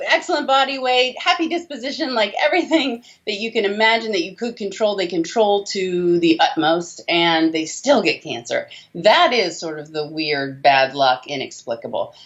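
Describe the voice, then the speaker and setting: A middle-aged woman explaining things as an interviewed doctor, emphatic and fond of rhetorical questions. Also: medium pitch. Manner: emphatic, rhetorical questions with tag checks like "okay?" and "right?". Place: small indoor room. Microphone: video call, computer at desk distance. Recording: dull lo-fi video call audio.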